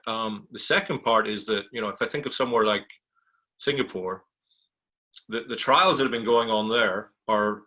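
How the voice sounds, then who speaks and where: A man speaking as an industry panelist, explaining points in a measured, conversational way. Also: fairly low pitch, pushed and bright. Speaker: technology provider, panelist.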